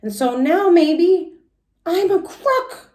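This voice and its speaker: Woman playing excitable kindergarten girl for a storybook: high, emphatic, indignant, childish phrasing, roomy echo. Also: takes it slowly, heavily acted. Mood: sulky, reluctant. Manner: emphatic, repetitive, childish grammar. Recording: echoey room sound.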